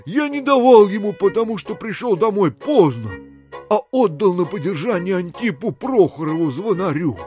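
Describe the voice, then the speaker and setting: A man voicing a brisk, cheeky runaway serf lying to the police in a theatrical audiobook, answering promptly and without faltering. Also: high-pitched, expressive, warm tone, faint music behind. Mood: brazen.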